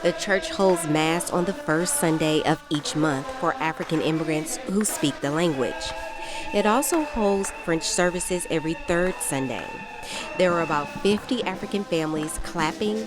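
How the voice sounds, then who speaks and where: A woman's voice: deep voice, animated, moderate pace, over music. A woman reporter reading a scripted radio news feature.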